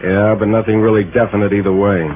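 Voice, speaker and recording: A man's voice: lowish voice, little pitch movement, fast, natural voice. An actor playing a confident small-town lawman in a 1950s radio drama, plain-spoken and insistent, with some hesitation. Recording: narrow, noisy old radio broadcast.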